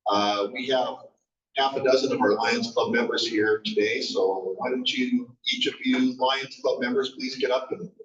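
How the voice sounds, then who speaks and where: A man with a loud voice introducing his club as a guest speaker, informally. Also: mid-range voice, steady tone, moderate pace. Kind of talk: presentation intro. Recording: echoey, distant, muffled room audio.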